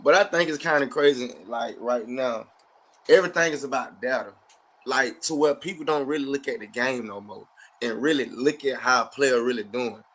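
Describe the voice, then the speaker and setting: A man's voice: medium pitch, normal speed, a bit bright. A man, talking sports in a blunt, slangy, casual way and often repeating himself. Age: 30s.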